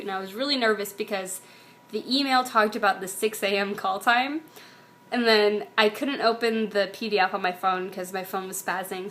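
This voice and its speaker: A woman's voice: medium pitch, a bit bright. Casual American woman vlogger with background noise. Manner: self-deprecating, informal. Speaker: actress. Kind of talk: casual diary-style chat. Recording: clear background noise, room ambience.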